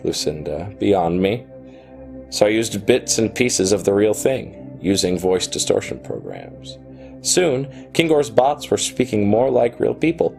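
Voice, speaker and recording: An actor playing a reflective, quietly self-satisfied programmer who narrates a radio play in a confiding way. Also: male voice, low-pitched, gravelly.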